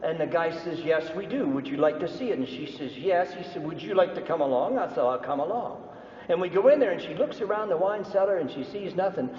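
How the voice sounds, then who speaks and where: A man's voice: a little high, lively intonation, fairly quick, a little mellow. A man with a Canadian accent giving a playful live business talk, teasing the audience. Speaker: business speaker. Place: large hall at an expo. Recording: echoey hall, distant mic, dull sound.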